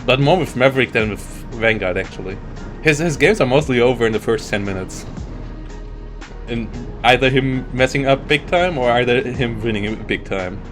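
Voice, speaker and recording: A man's voice: mid-range voice, animated, moderate pace, fairly bright tone, soft background music. A man commentating a live strategy game tournament, talking in loose, self-interrupting bursts. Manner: rambling, self-interrupting, frequent restarts. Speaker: esports caster.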